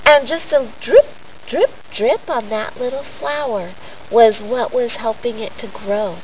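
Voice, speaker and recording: A woman telling a story to children in a simple, gentle teaching way, with pauses. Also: on the high side. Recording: roomy echo, dull sound, faint noise.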